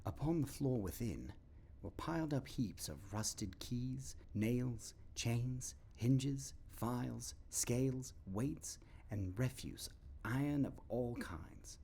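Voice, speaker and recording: A man narrating an audio play as a dramatic storyteller, with ominous, deliberate phrasing. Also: medium pitch, expressive, vocal fry, warm tone, slight background noise.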